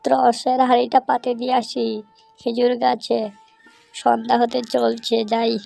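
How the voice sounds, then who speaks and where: An anxious old male cartoon fox, acted by a woman, with a high, gravelly and mellow voice. He mutters fretfully and repeats himself, like someone fed up with being robbed. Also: monotone, unhurried, soft background music. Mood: fretful, hurried. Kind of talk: amateur cartoon dubbing.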